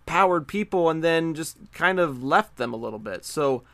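A man's voice: a little high, lively intonation, normal speed, a bit bright, dry recording. A man co-hosting a podcast, talking casually about pop culture and repeating words for emphasis. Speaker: podcast co-host.

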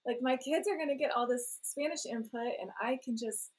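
A woman's voice: on the high side, normal speed. A woman in her thirties, a parent and blogger, talking in a rambling, self-deprecating way and laughing often. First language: English. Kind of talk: relaxed conversational interview.

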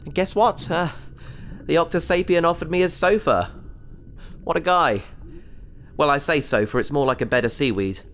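A man's voice: fairly high pitch, moderate pace, fairly bright tone, a little hiss or hum. An actor playing a naive, cheerful young man trapped in an alien's fish tank, rambling and talking his way around trouble in a sci-fi audio drama. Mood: breezy. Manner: naive, breezy, rambling and self-justifying.

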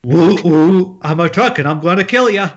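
A man's voice: high voice, animated, normal speed, highs cut off, noisy background. A man playing a blunt, erratic horror writer turned director in a comedic table read over an internet call. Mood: erratic, keen. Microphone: remote video-call microphone.

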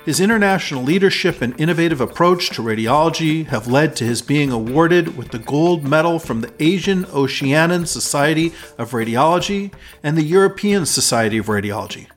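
A man hosting a podcast, reading a formal introduction and asking interview questions in a measured way. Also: fairly high pitch, expressive, faint music behind. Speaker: podcast host.

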